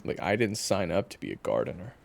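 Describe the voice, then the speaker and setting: A man playing a gruff, wry monster hunter with a blunt, sarcastic manner in an audio drama. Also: low-pitched, big pitch swings, fast, slight background noise, full character voice. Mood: wry, impatient. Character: gruff, wry, impatient male monster hunter named Raven. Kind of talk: audio roleplay character acting. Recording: echoey hall reverb, music behind.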